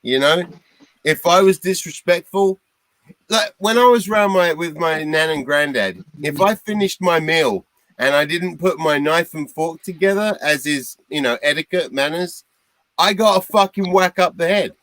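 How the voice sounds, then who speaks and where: A man's voice: a little high, normal speed, fairly bright tone, no echo, poor audio. A man in his thirties from Britain speaking bluntly and swearing in a casual chat.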